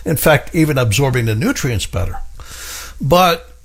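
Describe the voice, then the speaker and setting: A middle-aged man with a husky voice, hosting a health radio show as a pharmacist, talking with enthusiasm and stacking up repeated phrases for emphasis. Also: medium pitch, big pitch swings, moderate pace. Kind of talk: radio health talk monologue.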